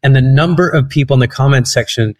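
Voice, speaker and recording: A man's voice: medium pitch, fast talker. A man from Egypt, a comedian guest on a podcast, telling stories in a rambling way and laughing at them. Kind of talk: casual interview chat.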